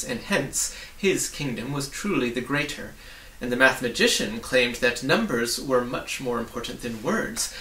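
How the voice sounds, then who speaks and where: Guy in his 40s reading a kids book out loud, expressive, some gravel, echoey room with faint noise. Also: mid-range voice. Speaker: reader. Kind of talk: storybook narration, read aloud. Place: small book-lined home study, indoors. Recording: roomy, distant mic with faint noise.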